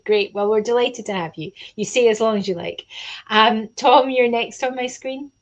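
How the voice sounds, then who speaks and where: A woman's voice: fairly high pitch, unhurried, highs cut off. A woman hosting a live online panel as program convener, chatty, with many fillers, speaking over a video call.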